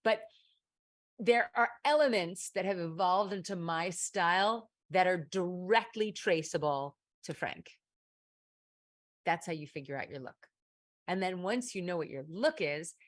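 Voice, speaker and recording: A middle-aged woman chatting on her livestream, emphatic and loose, full of little asides. Her voice sits a touch low for a woman. The sound is close and dry, with no room around it. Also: normal speed, no room sound. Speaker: livestream advice show host. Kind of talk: casual livestream chat reading viewer comments.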